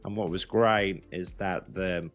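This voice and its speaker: A man's voice: low voice, fairly even intonation, measured pace, muffled recording, faint music behind. A British man giving a casual, unscripted review as a business founder, explaining things conversationally and repeating words for emphasis. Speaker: recruitment agency founder giving a software review.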